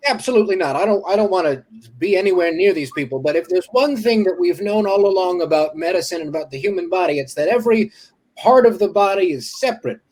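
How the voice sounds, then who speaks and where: A man's voice: high-pitched, lively intonation, fast, darkish tone. A man playing a bewildered Civil War doctor who stammers and rambles in old-fashioned bluster. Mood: rambling. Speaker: guest. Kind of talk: improvised comedy character.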